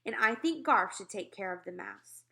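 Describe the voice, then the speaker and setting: A woman's voice: medium pitch, animated, normal speed, bright, forceful tone. A woman voicing a cheerful, encouraging camp leader aunt in a children's storybook. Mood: gently persuading. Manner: enthusiastic, encouraging.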